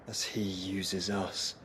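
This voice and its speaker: A man's voice: low voice, noisy background. An actor playing an earnest, scholarly young nobleman in a video game, speaking formally and eloquently with a British accent. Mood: somber. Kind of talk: dramatic video game acting.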